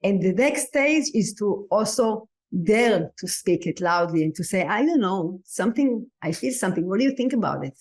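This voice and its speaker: A woman's voice: medium pitch, lively intonation, moderate pace, few pauses. Reflective woman, Hebrew native speaker. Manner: reflective, searching for words, restarts. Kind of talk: casual podcast conversation.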